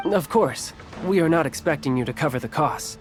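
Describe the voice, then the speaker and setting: An actor playing a composed, polite guild agent in a video game. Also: male voice, fairly high pitch, expressive, a little fast, loud music behind the voice, close to an everyday voice. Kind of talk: video game character acting.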